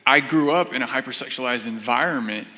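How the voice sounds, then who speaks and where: A man's voice: mid-range voice, brisk, sharp tone. A man in his thirties with an American accent, giving informal personal testimony as a seminar co-presenter. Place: on stage in an auditorium, seated at a high table. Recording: echoey hall, stage sound.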